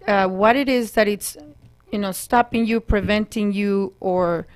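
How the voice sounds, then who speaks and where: A woman's voice: mid-range voice, steady tone, measured pace. A woman spiritual coach, a guest on a talk show, explaining her work in an earnest, reflective way.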